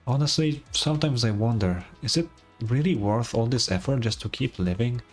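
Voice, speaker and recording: A man's voice: mid-range voice, normal speed, darkish tone, husky, natural voice. A man acts out a shy, sickly boy in a story game. He is insecure and easily flustered, stumbling hesitantly over words, with music playing behind him. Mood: somber. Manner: hesitant, stammering.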